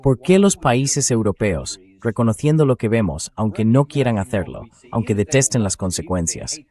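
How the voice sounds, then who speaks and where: A man's voice: lowish voice, animated, normal speed, dark tone. A man's synthetic voice dubbing an interview host in political commentary, reading conversational speech aloud. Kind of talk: AI-read dubbed political commentary.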